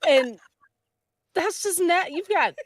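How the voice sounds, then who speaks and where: Casting: female panelist, lively pitch swings, slow pace, thinks out loud casually. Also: no echo, clean studio sound. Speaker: podcast panelist. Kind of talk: casual group chat.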